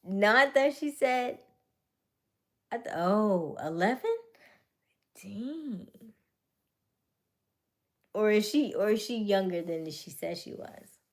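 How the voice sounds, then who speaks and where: A woman in her late thirties hosting a casual livestream chat, teasing and playful, slipping into quiet asides. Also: mid-range voice, lively intonation, slow pace, long pauses. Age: late 30s. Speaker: livestream host. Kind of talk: casual live chat with viewers.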